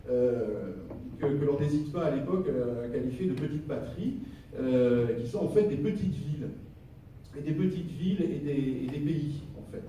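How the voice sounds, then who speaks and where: A man with a French accent from France giving a semi-improvised conference lecture, halting and searching for his words. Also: mid-range voice, warm tone. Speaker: conference speaker, geographer. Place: conference hall. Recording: roomy echo, distant mic, faint noise.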